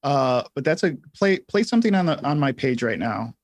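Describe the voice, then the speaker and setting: Guy hosting a laid back software tutorial stream, kinda halting, thinking out loud, close dry mic. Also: medium pitch, very animated, no room sound. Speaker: livestream host and software instructor. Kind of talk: casual live software tutorial.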